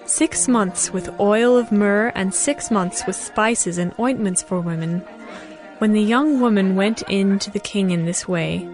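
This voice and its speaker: A woman narrating scripture from a script as a measured, formal storyteller in a dramatized audiobook. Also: mid-range voice. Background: music.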